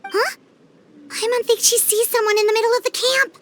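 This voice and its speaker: A woman voicing a small, childlike fairy companion in a video game, chirpy, excitable and caring. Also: extremely high pitch, fairly quick, a little hiss or hum. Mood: eager. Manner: chirpy, refers to self in third person.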